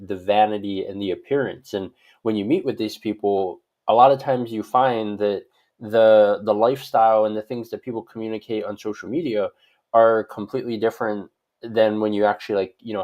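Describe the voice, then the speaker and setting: A man's voice: fairly low pitch, highs cut off. Man from Hawaii, rambling conversational guest. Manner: rambling, peppered with "like" and "right?". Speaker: guest expert on social media profiles. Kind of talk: conversational interview answers.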